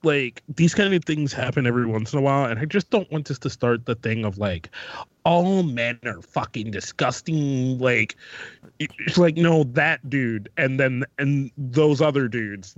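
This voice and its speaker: A man's voice: mid-range voice, expressive, unhurried, no echo. Stammering, blunt male guest, muffled. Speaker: podcast guest. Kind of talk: casual chat. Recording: muffled, lo-fi audio.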